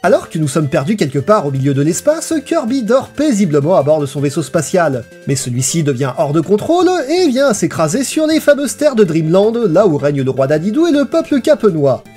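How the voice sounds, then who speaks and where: A man's voice: fairly high pitch, very animated, a little fast, few pauses, warm tone. A man reading a scripted video essay in a playful, theatrical storytelling manner. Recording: voice-over with music behind. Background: background music.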